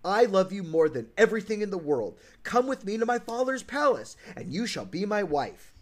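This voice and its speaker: A man's voice: high-pitched, very animated, moderate pace. A man voicing an earnest, lovestruck young prince from a storybook. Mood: joyful, loving.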